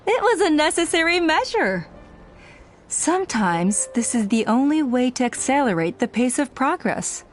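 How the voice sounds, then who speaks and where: An actress playing a proud, self-righteous clan elder woman in a video game, speaking in measured, formal, persuasive speeches. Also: high-pitched, very animated. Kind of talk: scripted video game voice acting. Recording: music under the voice.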